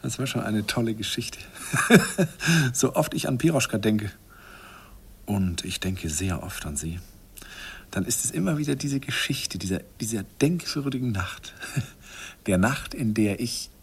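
A man's voice: on the low side, expressive, measured pace, long pauses, breathy edge, exaggerated voice. An actor playing a wistful man who narrates memories of his youthful love in a confiding, self-mocking radio play style. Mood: fond, amused. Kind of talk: intimate first-person radio play narration.

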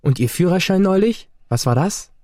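Mellow, cheeky teenage boy. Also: sits high, expressive, normal speed, no echo, clean studio sound. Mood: cheeky, teasing. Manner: cheeky, teasing, questioning. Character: cheeky teenage boy, adopted son, fond and quick-witted. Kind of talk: German radio play acting.

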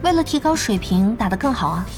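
A woman acting a self-assured young gamer in an audio drama, calm, polite and matter-of-fact. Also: fairly high pitch, fast, music in the background. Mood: contented, polite.

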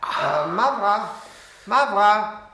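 An actor playing an obsequious, hard-drinking, obsessive widower in a German radio drama, halting and repeating his words. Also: high-pitched, animated, pushed and bright, breathy edge, full character voice, poor audio. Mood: fussing, urgent.

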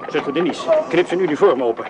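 An actor playing a harried, commanding army surgeon major in a radio drama, giving urgent orders. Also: male voice, on the high side, expressive, fast talker, a loud crowd, close to an everyday voice. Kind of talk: Dutch radio drama acting.

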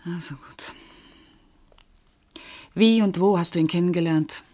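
A woman's voice: on the low side, unhurried, darkish tone, natural voice. An actress in a radio play playing a dry, sensible middle-aged woman who teases and advises her friend. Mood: firm, slightly impatient. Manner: dry, wry, matter-of-fact. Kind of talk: German radio drama dialogue.

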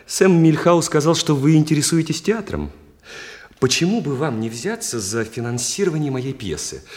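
An actor playing an insinuating, mock-polite playwright in a radio play, with hesitant hums and repeated phrases. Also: male voice, on the high side, lively intonation, moderate pace, a little mellow, lightly acted. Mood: eager, pushy. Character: insinuating, oily male playwright peddling his play. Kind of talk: Russian radio play acting. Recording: echoey hall, long reverb.

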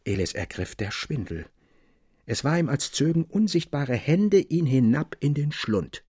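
A man's voice: a little high, big pitch swings, unhurried, darkish tone, breathy edge. A man narrating a classic literary tale expressively, as an audiobook reader. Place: dry studio.

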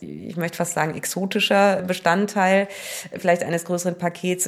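A woman answers interview questions in a fairly low voice with plenty of fry. She phrases things tentatively, thinking as she goes as an industry spokesperson. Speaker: music industry association representative. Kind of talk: spontaneous interview talk.

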